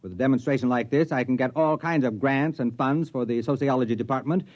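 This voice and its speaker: An actor playing an excitable, exasperated sociology professor in a 1950s radio drama. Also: male voice, mid-range voice, quick pace, close to an everyday voice. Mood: excited. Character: excitable male sociology professor, increasingly alarmed narrator. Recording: dull vintage radio recording with music.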